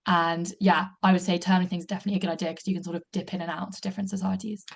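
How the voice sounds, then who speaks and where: Quick-talking young woman, flat voice. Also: fairly low pitch, gravelly. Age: young adult. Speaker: student ambassador. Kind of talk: webinar panel answer.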